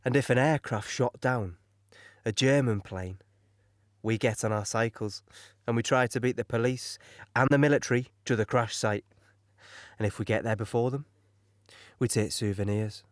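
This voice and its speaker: An actor as an eager young English infantryman, mid-pitched. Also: moderate pace, pauses often, no echo, studio quality, natural voice. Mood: keen, reminiscing. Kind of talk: audio play monologue, narrated memories.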